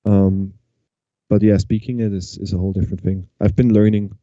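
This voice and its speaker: A man with an Austrian German accent, talking in English with a very dark, mellow tone and a lowish pitch. His sound is dull and lo-fi, and it is dry and close. He chats loosely, restarting his sentences often. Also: level tone, brisk, no room sound. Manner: chatty, frequent restarts and fillers. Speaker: guest musician. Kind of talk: casual interview chat.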